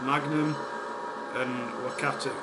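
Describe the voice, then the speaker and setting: A man with a West Yorkshire accent hosting a casual beer-tasting vlog, chatty and unhurried, with plenty of ums and uhs. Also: mid-range voice, flat delivery. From West Yorkshire, England. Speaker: beer reviewer, channel host. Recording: echoey room with air-conditioning hum.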